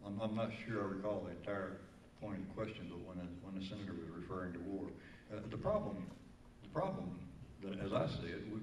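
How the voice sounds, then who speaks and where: A man's voice: on the low side, darkish tone, a little hiss or hum. A man, a congressional candidate speaking at a forum, impassioned and full of rhetorical questions. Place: community forum room. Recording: echoey room from far off.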